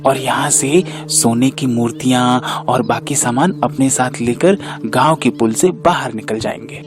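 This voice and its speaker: A man's voice: medium pitch, unhurried, over music, natural voice. A man acting village men in a cartoon moral tale. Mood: sly, conspiratorial.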